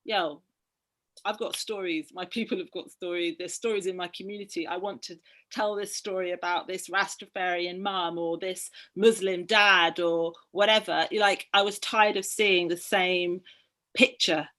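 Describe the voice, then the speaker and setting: A woman's voice: fairly low pitch, steady tone, measured pace, pushed and bright. Earnest middle-aged woman from Barbados. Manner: earnest, passionate, thinking aloud. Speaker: guest, book activist. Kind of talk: conversational discussion.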